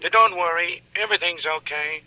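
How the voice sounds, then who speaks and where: An actor playing an obedient hired killer in a 1940s radio drama, deferential and offhandedly reassuring. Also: male voice, sits high, fairly quick, bright, forceful tone, no echo, dull audio. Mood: deferential, unconcerned. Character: obedient, casual male hired killer taking orders.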